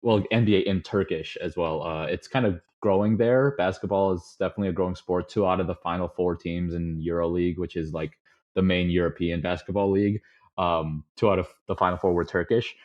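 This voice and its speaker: A young man from the Chicago suburbs, chatting as a podcast guest in a relaxed, rambling way with lots of fillers. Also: sits low, brisk. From suburbs of Chicago, USA. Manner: relaxed, rambling, many fillers, chuckles often. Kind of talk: casual interview conversation. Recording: slightly dull lo-fi audio.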